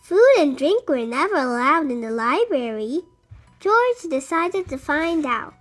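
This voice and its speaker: Super high young-sounding voice reading a kids book slowly, expressive, music behind. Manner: expressive, addressing young children. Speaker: children's storybook reader. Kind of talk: read-aloud picture book narration.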